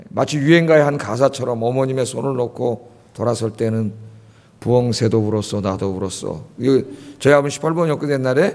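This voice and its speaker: A man's voice: mid-range voice, darkish tone. A man in his fifties from Seoul, preaching a sermon to a church congregation in a chatty way, often asking them questions. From Seoul, South Korea. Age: 50s. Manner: conversational, asks the congregation questions. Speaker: pastor. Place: church sanctuary. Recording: long hall reverb.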